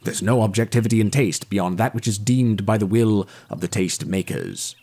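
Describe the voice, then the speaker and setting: An actor playing an earnest, opinionated detective in an audio drama, with a formal, declamatory, pedantic manner. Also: male voice, lowish voice, very animated, moderate pace, creaky, mellow, exaggerated voice. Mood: earnest, self-righteous. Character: male detective, pompous, earnest, opinionated seeker of truth.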